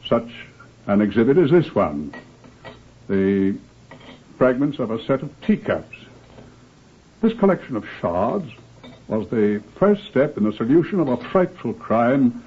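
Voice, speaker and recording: An actor with a British accent playing an authoritative police superintendent who narrates crime cases in a formal, measured, deliberate old-time radio style. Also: male voice, medium pitch, big pitch swings, husky, phone-quality sound. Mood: solemn, measured. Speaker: narrator, host of crime series. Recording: thin, band-limited old radio recording.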